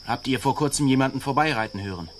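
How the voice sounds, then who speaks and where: An actor playing a brusque, suspicious army sergeant in a German radio play, with a threatening manner. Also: male voice, medium pitch, fast talker, slight background noise, natural voice. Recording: faint night crickets behind. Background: faint night insect ambience.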